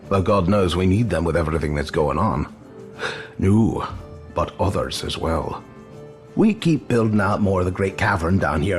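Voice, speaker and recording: An actor playing a genial, chatty old immortal tavern keeper in an audio drama, with a jovial, teasing, folksy manner. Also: male voice, low-pitched. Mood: hushed, confiding. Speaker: barkeep. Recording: music under the dialogue.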